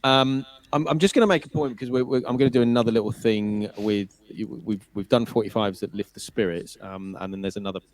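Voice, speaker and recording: A man's voice: on the low side, fairly even intonation, a little fast, hardly any pauses. A man hosting a casual chat show, talking in a loose, rambling way with frequent restarts. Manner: rambling, with many fillers and restarts. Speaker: host.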